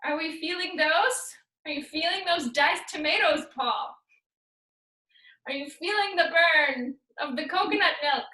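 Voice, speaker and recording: A woman's voice: extremely high pitch, a little slow, pushed and bright. A woman fitness instructor giving upbeat, playful workout cues, slipping in Spanish words. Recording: echoey room, voice far from mic.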